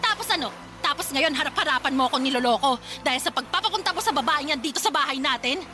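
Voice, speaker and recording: A woman's voice: high-pitched, expressive, fast talker. A woman playing a fiery, confrontational betrayed girlfriend in a melodramatic radio drama, scolding shrilly and emphatically. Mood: hurt, furious. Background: background music.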